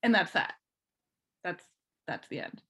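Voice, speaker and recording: A woman's voice: fairly low pitch, expressive, measured pace, pushed and bright, slightly rough. Chatty woman, casual storyteller. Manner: chatty and informal, peppered with "like". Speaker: podcast guest, fan fiction writer. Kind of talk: casual chat, telling personal story.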